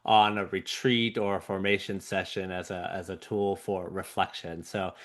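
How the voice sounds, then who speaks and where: A middle-aged man hosting an interview, speaking in a halting way with many restarts. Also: sits low, level tone. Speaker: interview host. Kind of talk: conversational interview.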